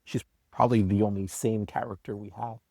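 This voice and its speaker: A man's voice: on the low side, level tone, a little fast, gravelly, warm tone, no echo, clean studio sound. A man speaking in an earnest, explanatory way in a conversational behind-the-scenes interview. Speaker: podcast guest, audio drama creator.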